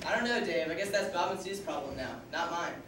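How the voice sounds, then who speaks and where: A stubborn, law-abiding camp counselor, played by a man in an amateur stage play, declaiming his lines loudly in a high voice. He is heard from far away on a stage. The big hall rings with echo, and music plays behind him. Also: animated, fairly quick, background noise. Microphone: far room mic.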